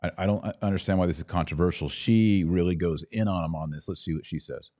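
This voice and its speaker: Low voiced guy hosting a podcast, casual opinionated commentary, kinda mocking when he mimics people. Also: quick pace, dark tone. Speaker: podcast host giving political commentary.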